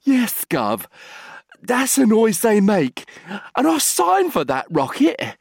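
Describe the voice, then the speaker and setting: A man's voice: sits high, wildly swooping pitch, slow, creaky, slightly rough, dry recording, studio quality, pitched above the performer's own voice, heavily acted. A man voicing a hapless, deferential British working chap in a comic story, with a colloquial working-class accent and a hesitant, chatty manner. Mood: earnest explaining.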